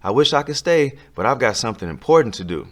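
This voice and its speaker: A man's voice: mid-range voice, sing-song, fast, a bit bright, voice pushed up. A man voicing a polite, determined young boy in a children's story, with an earnest manner. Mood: friendly, hurried.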